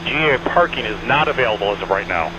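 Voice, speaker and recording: Fast-talking male controller on noisy aviation radio. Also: lowish voice, expressive, lo-fi sound. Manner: clipped, repetitive directives with courteous sign-offs. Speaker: air traffic controller at an airshow arrival. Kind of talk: rapid radio traffic instructions. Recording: band-limited aviation radio, aircraft engine noise. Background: small airplane engine drone.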